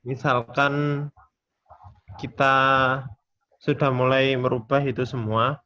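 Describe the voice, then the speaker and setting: A man taking part in a class, speaking casually and politely in a halting, hesitant way. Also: mid-range voice, steady tone, fairly bright tone. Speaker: webinar participant. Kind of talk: casual question and discussion. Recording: slightly dull lo-fi call audio.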